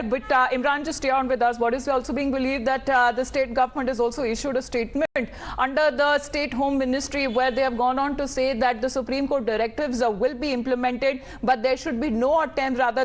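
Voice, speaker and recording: A woman with an Indian accent presenting live news as an anchor. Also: high voice.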